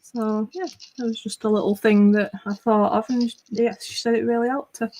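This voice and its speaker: A woman's voice: on the high side, fairly even intonation, normal speed, a little mellow. A middle-aged woman with a northwest English accent, chatting casually on a livestream and rambling with lots of fillers. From northwest England. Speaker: livestream host. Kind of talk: casual chat.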